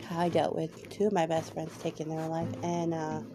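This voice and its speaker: A woman's voice: low-pitched, monotone, a little fast, noisy background. A woman hosting her own podcast, talking candidly with many hesitant ums, her voice a little off from a cold. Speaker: podcast host. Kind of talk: personal podcast monologue.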